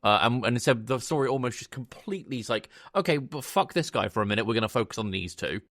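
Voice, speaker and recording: A man's voice: mid-range voice, lively intonation, fast talker, a bit bright, dry recording, clean studio sound. A man hosting a podcast, giving casual film commentary in a conversational way, rephrasing as he goes. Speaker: podcast host.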